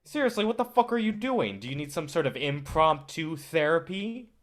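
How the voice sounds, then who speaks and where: A man's voice: a little high, very animated, moderate pace. A man in a staged play reading, acting the part of a curt, domineering film company boss with a dismissive, profane manner. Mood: irritated, brusque.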